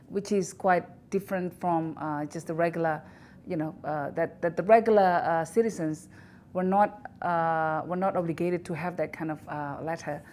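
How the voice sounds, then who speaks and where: A woman from Indonesia with an Indonesian accent, answering interview questions in a halting way with many fillers and restarts. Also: lowish voice, darkish tone, slight background noise. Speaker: interview guest, institute director. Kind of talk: recorded news interview.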